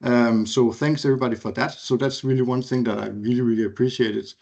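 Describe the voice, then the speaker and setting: A man's voice: mid-range voice, fairly even intonation, quick pace, vocal fry, dark tone. A man from Denmark in his thirties, reminiscing casually on a video call, hesitant with many fillers and restarts. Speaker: alumni speaker. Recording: muffled video call audio.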